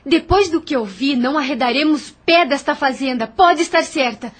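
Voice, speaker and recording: An actress plays a young woman in an audio drama with a very high voice. She is compassionate and determined, speaking in formal, emphatic phrases with a strong sense of indignation. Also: moderate pace, close to an everyday voice. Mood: firm, outraged. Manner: earnest, emphatic, formal speech.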